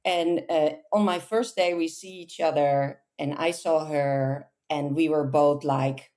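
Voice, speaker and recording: A woman's voice: sits low, a little slow. Chatty woman telling stories. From Netherlands. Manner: chatty, animated, self-amused storyteller. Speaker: podcast guest. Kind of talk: casual storytelling interview.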